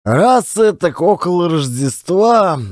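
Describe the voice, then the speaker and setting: A man's voice: high voice, sing-song, slow, dry recording, studio quality, heavily acted. A man performing a dramatized audiobook as a folksy Russian working man telling colloquial tales of his adventures. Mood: reflective, wistful. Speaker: audiobook narrator and actor. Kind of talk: one-man dramatized audiobook reading of classic fiction.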